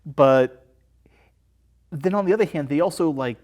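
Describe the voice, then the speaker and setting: A man's voice: on the high side, a little slow, darkish tone. Man chatting as a film show guest. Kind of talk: casual film discussion.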